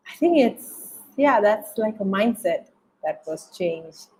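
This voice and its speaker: A woman from Bangladesh with a Bangladeshi accent, giving reflective interview answers as a guest, halting and often repeating phrases as she searches for words. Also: medium pitch, expressive, a little mellow, breathy edge, rough recording. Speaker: interview guest, documentary filmmaker.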